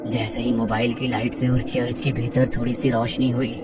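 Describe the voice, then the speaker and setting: A man's voice: mid-range voice, little pitch movement, normal speed, far from the mic, over loud music. A man narrating a scripted horror story as the storyteller.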